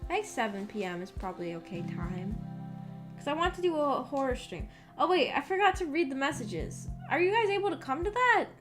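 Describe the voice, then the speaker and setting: A woman's voice: high voice, very animated, fairly quick. A woman streamer talking casually to her viewers in a rambling, hesitant way, thinking aloud as she goes. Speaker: VTuber streamer chatting with viewers. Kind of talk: casual livestream chat. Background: background music.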